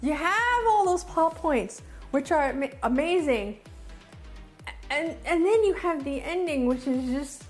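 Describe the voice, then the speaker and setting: High-voiced woman giving an enthusiastic review. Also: lively intonation, unhurried, faint music behind. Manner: enthusiastic, emphatic, repeats phrases for emphasis. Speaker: movie reviewer, video host. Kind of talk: casual unscripted review to camera.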